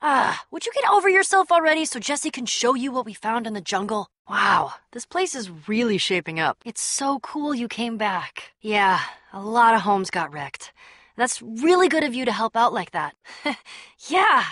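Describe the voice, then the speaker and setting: A woman's voice: fairly high pitch, sing-song, measured pace, a bit bright, dry recording, clean studio sound, full character voice. An actress playing a bold, sardonic young woman adventurer in a video game, with a wry, punchy, casual delivery. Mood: wry, friendly.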